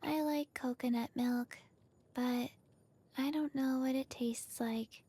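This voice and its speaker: An actress playing a gentle, innocent moon maiden in a video game, soft and whispery, dreamy and hesitant. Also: female voice, sits high, fairly even intonation, slow pace, husky, heavily acted. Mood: gentle, wistful. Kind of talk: scripted game character voice lines.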